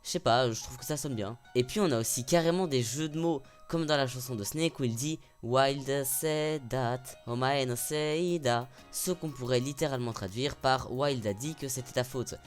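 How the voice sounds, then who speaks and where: A man with a voice of ordinary pitch talks through a stage musical in a scripted review. He is opinionated and sarcastic, and he breaks into heated outbursts now and then. Faint music plays underneath. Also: moderate pace, hardly any pauses. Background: faint background music.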